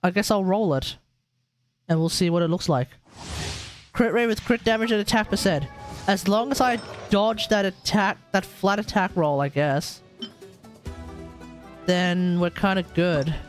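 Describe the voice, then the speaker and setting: A man's voice: sits high, unhurried, pauses often. A man giving casual live commentary as a game streamer, with a grumbling, sardonic manner. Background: game music.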